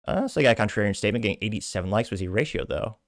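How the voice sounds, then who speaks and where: American man, lowish voice, casual rambling commentary host who wanders into asides; dry recording. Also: animated, rapid-fire, dry recording. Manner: rambling, digressive, with offhand asides. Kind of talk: casual political commentary, reading out viewer comments.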